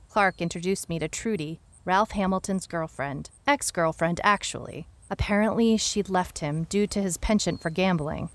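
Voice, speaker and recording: An actress playing a wry, skeptical private detective, narrating her mystery story in a dry, musing first-person way. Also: fairly low pitch. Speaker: narrator and lead character of an audio mystery serial.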